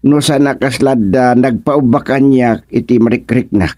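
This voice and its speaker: A man's voice: mid-range voice, normal speed, dark tone, dry recording, studio quality, natural voice. A man playing a grumbling, plain-spoken village man in a radio comedy-drama, with an Ilocano accent and an exclamatory delivery. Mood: resigned.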